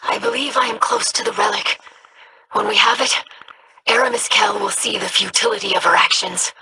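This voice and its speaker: An actress voicing a proud alien pirate leader in a video game, formal and solemn. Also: female voice, medium pitch, normal speed, sharp tone, a little hiss or hum, rough recording. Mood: determined. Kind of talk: game voice acting.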